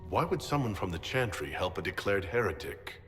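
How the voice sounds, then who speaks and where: A man's voice: sits low, big pitch swings, fairly quick, fairly bright tone. An actor playing a guarded, self-possessed male mage hero in a fantasy game, direct and questioning. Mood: cool, questioning. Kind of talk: dramatic fantasy game dialogue. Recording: long hall reverb, faint music.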